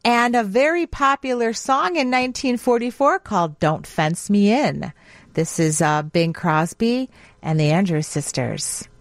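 A woman's voice: medium pitch, lively intonation, measured pace, dry recording. American woman co-hosting radio, dry studio sound. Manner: playful, teasing. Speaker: radio co-host. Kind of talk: casual radio banter.

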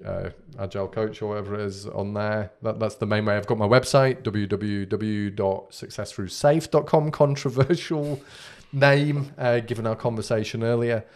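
Gravelly man with a Scottish twang. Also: lowish voice, fairly even intonation, normal speed, hardly any pauses. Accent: mixed British with a Scottish twang. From Scotland. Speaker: guest, agile consultant. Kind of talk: casual podcast interview. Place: small acoustically treated podcast studio. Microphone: close studio microphone on a boom arm.

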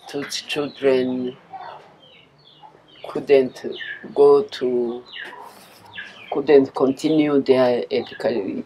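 An older woman with a Rwandan accent giving personal testimony in an interview, speaking in a halting, deliberate and earnest way. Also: extremely low pitch, mellow, slight background noise, poor audio. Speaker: interviewee, former liberation movement member.

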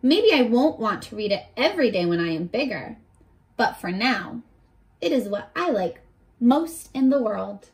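A woman in her twenties reading aloud and teaching young children in an animated, encouraging way, pausing to ask questions, recorded at arm's length indoors. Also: on the high side. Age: 20s. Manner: animated, encouraging, asks questions and pauses for answers. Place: small furnished bedroom, indoors.

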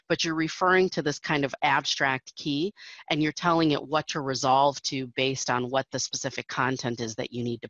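A woman's voice: very deep voice, a bit bright, no echo, muffled recording. A woman presenting a technical webinar over slides, explaining steadily with frequent fillers.

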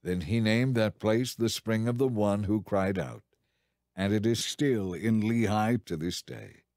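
A man narrating a dramatized Bible story in a measured, scripted storytelling style. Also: lowish voice, a little mellow, no echo, clean studio sound.

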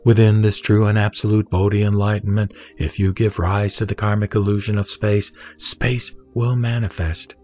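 A man reciting a sutra as a solemn scripted reading, in measured, deliberate phrases with pauses. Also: on the low side, level tone, a little mellow. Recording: background music under the voice. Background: music.

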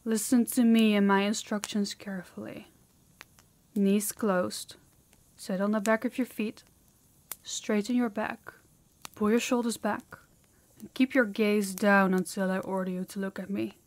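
A woman's voice: medium pitch, flat delivery, unhurried, natural voice. A woman playing a harsh, dominant demon in an intimate audio roleplay, commanding and menacing, often dropping toward a whisper. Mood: stern, approving. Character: harsh, dominant female demon training a human captive.

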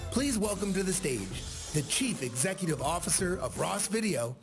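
A man's voice: sits high, very animated, moderate pace. A man acting as event announcer, making a formal introduction to bring a speaker on stage. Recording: loud music over the voice. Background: loud music.

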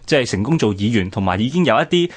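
A man's voice: medium pitch, animated, quick pace, breathy edge. A man with a Hong Kong Cantonese accent co-hosting a radio programme, discussing history in a conversational way.

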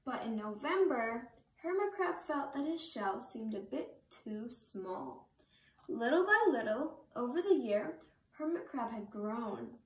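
A young adult woman reading a children's picture book aloud with slow, expressive storytelling, sitting on a couch in a living room. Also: a little high. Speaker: reader. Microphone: camera across the room. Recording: roomy living room from far camera.

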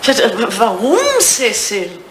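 Elderly English lady, radio drama: forthright widow, very lively intonation, playful jabs, old roomy recording with faint noise. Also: medium pitch, takes it slowly. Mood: curious, probing. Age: older. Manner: playful, pointed, animated. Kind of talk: German radio play dialogue, retro 1980.

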